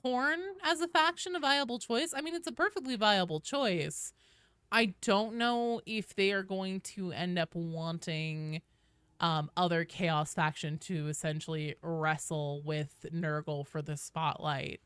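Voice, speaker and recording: A woman's voice: on the low side, fairly even intonation, unhurried, fairly bright tone, clean tone, no echo. A woman giving casual live gameplay commentary as a streamer, musing aloud and often trailing off mid-thought. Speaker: game streamer.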